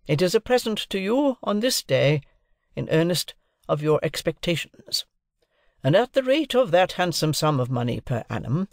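A man performs a domineering, middle-aged lawyer in an audiobook. He is brusque and emphatic, as if questioning a witness. The pitch is high and swings widely in a dry, close recording. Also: no echo, heavily acted. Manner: cross-examining, emphatic, deliberate. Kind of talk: audiobook character dialogue.

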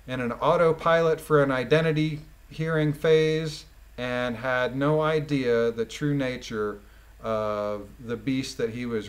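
A man reading a document aloud and adding informal, emphatic commentary with halting phrasing. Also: mid-range voice. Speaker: commentator, former police officer.

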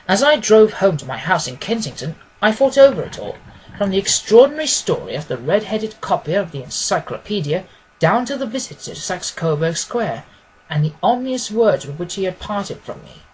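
A man's voice: high voice, sing-song, moderate pace, a little hiss or hum, poor audio. A man reading scripted literary narration as an audiobook reader.

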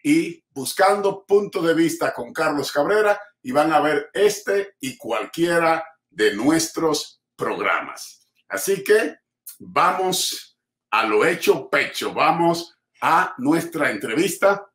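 A man's voice: fairly high pitch, expressive, takes it slowly, fairly bright tone. A man hosting a community TV interview from home over a video call, speaking emphatically with frequent fillers. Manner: emphatic, rhetorical, hesitant fillers. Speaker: TV host. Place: home room with bookshelves. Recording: roomy echoey home room.

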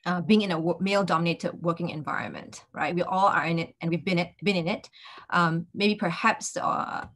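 Lowish-voiced woman moderating a video panel. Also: a bit bright. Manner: measured, many fillers.

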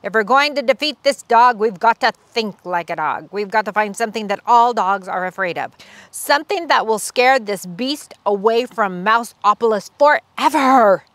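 A woman voicing an earnest female professor in a storybook who explains things gravely. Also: on the high side, lively intonation, normal speed, fairly bright tone, no echo. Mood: serious lecturing. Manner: grave, lecturing. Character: earnest female professor, wise mouse scientist.

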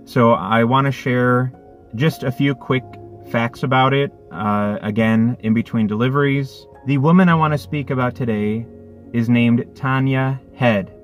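A man's voice: medium pitch, measured pace, faint music behind. A man in his thirties, a delivery driver, telling a story earnestly from a parked car, with lots of restarts. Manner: earnest, thoughtful, with many restarts. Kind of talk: casual reflective storytelling. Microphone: phone camera on dashboard.

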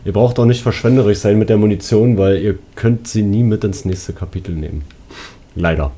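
A man with a slightly husky voice giving casual commentary as a let's play host. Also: lowish voice, a little fast, dark tone.

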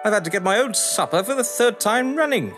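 An actor playing a haughty, well-to-do English gentleman in an audio drama, florid and evasive, with an English accent. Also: sits high, animated, a little fast. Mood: peevish, helpless. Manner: pompous, florid, theatrical. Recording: reverberant hall with music behind.

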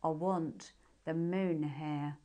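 A woman's voice: low voice, fairly even intonation, extremely slow, warm tone, voice pushed down. A woman voicing a spoilt, plucky little princess in a children's storybook, with a childlike, demanding manner. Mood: demanding, drowsy.

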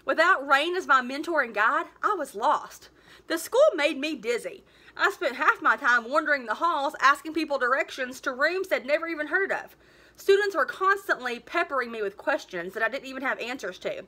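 Bright, high-pitched woman reading aloud. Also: expressive, normal speed. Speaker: teacher reading a book aloud. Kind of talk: expressive storybook read-aloud. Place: living room at home, indoors.

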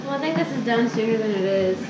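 A woman's voice: fairly high pitch, animated, fast talker, noisy background. A woman in her twenties chatting casually while she cooks at home, reading recipe steps aloud in a playful, unsure way. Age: 20s. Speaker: vlogger learning to cook. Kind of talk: casual cooking vlog chat. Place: home kitchen, indoors. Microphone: camera across the kitchen. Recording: echoey, dull kitchen audio with music.

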